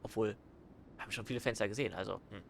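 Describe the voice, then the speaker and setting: A man's voice: on the low side, racing, creaky, noisy background. A man giving casual commentary as he plays through a video game. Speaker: let's play commentator.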